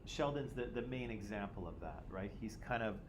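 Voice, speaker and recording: A man's voice: on the low side, lively intonation, normal speed. Male teacher, classroom discussion, jokey, tags "right?" constantly, noisy background. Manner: jokey, self-teasing, checks in with "right?" constantly.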